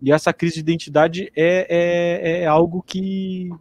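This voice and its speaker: A man's voice: high-pitched, level tone, unhurried, a little mellow. A man hosting a casual podcast interview, feeling his way through rambling, tentative questions. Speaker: podcast host.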